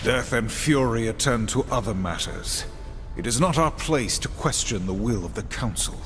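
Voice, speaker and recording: A man's voice: mid-range voice, lively intonation, normal speed, a bit bright, natural voice. Man as a stern warrior horseman, echoing over music. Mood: grave. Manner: formal, grave. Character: stern, humorless, dutiful male warrior horseman. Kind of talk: video game cutscene acting. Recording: long reverb, clear music behind.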